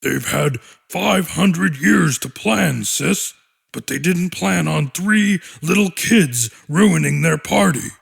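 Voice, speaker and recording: A man plays a scaly little monster brother in an audiobook, determined and intense. The voice is heavily creaky and husky, and speaks slowly. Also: fairly high pitch, lively intonation, a bit bright, exaggerated voice. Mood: defiant. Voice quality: gravelly, rough. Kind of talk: audiobook character acting.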